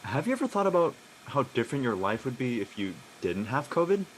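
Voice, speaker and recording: A man's voice: mid-range voice, sing-song, brisk, slight background noise, close to an everyday voice, poor audio. A man playing a curious student son in a drama reading, casual, slangy and inquisitive.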